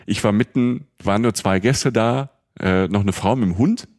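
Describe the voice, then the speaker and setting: Podcast co-host, man from the Palatinate, rambling storyteller, lower voice, dry booth sound. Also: normal speed, darkish tone, no echo. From Palatinate, Germany. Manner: chatty, rambling storytelling with many fillers. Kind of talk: casual travel chat.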